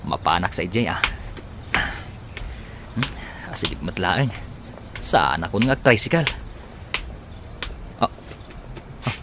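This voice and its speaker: A man's voice: low voice, animated, slow, long pauses, noisy background, heavily acted. A man playing a puzzled, insistent young working man in an Ilocano comedy radio drama, musing and questioning.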